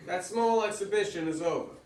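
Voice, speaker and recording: A man playing a calm, encouraging old retired baseball star in an amateur play reading. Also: high voice, moderate pace, natural voice. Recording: echoey room, far from mic.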